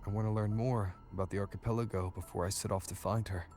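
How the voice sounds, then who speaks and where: An actor playing a calm, polite young wandering adventurer in a video game, speaking plainly and with restraint. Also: male voice, lowish voice, fast talker, soft background music, close to an everyday voice. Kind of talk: scripted video game story dialogue.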